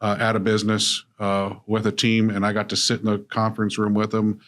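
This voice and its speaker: A man's voice: lowish voice, monotone, a little fast, a bit bright. A middle-aged man from Illinois, an author and business coach, speaking steadily and reflectively as a podcast guest. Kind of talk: conversational interview.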